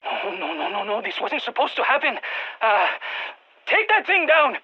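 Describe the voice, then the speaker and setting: An actor playing an anxious, excitable nerdy scientist in a video game, speaking over a radio, expressive and prone to stammering and repeating words. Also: high voice, moderate pace, lo-fi sound. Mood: dismayed. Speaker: field research scientist.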